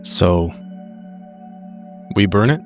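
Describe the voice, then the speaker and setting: A man with a fairly low voice plays a tabletop roleplaying game. He phrases things casually and deliberately, swears a little, and has music running beneath him. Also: animated. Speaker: tabletop roleplay podcast player. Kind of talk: player narrating his character's actions.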